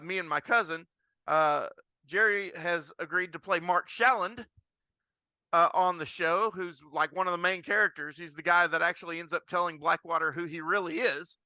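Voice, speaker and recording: A man's voice: sits high, bright, forceful tone, no room sound. A man hosting a podcast, chatting and asking questions in a casual interview style.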